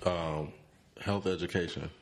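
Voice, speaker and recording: A man with a very deep, low voice hosts a podcast interview from a studio mic. He asks his questions in a relaxed, slangy, conversational way. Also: unhurried. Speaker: podcast host. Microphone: studio mic on boom arm.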